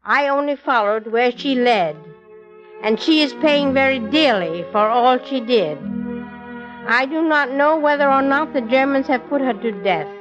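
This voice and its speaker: A woman guest author giving formal, scripted testimony in a measured, earnest manner. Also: fairly high pitch. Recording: dull 1940s radio recording.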